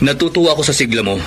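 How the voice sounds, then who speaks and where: Casting: friendly Filipino elder brother, mid-pitched, very expressive, radio serial. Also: male voice, a little hiss or hum. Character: friendly, concerned older brother. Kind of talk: Filipino radio drama acting.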